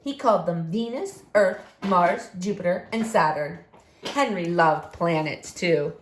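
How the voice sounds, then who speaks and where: Female storybook reader for young kids: very lively pitch swings, slow deliberate phrasing, roomy sound. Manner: expressive, emphatic, deliberate phrasing. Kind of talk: animated read-aloud story narration for young children. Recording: roomy echo, faint background noise.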